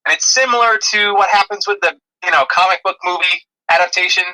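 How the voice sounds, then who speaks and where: A man with a fairly high, extremely bright and forward voice co-hosts a podcast. He talks in a casual, conversational way, as in an informal review. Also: fast talker, rough recording. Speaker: podcast co-host. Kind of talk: casual movie review chat.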